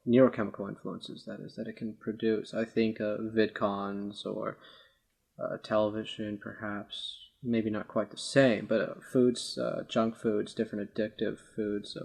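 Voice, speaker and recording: A man's voice: lowish voice, vocal fry, darkish tone, slightly rough. A man debating politics informally as a podcast co-host, speaking in a hesitant, wordy way with formal vocabulary.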